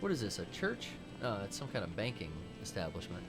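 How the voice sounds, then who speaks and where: A man's voice: lowish voice, brisk. A man in his thirties co-hosting a gaming stream, chatting casually while he plays and reacting aloud to the game. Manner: lively, reacts loudly to gameplay. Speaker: co-host playing a video game on stream. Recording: game music playing behind.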